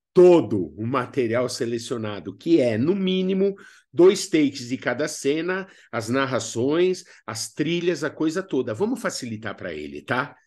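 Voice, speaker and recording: A man with a Brazilian accent narrates an instructional video lesson. His voice sits at an average pitch. He explains in a didactic way, dropping in conversational asides to the learner. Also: a little mellow. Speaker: instructor of a radio drama workshop.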